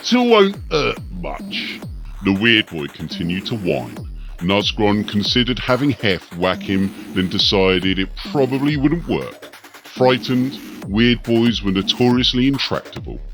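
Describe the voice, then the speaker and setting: A man's voice: lowish voice, a bit bright. A man narrating a scripted fantasy story dramatically as an audiobook reader.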